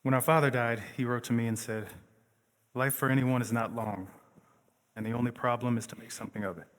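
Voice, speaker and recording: A man's voice: medium pitch, steady tone, fairly quick, fairly bright tone, close to an everyday voice. A man playing a painter's opinionated, fond brother in stage play dialogue. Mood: correcting, solemn. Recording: reverberant stage hall from afar.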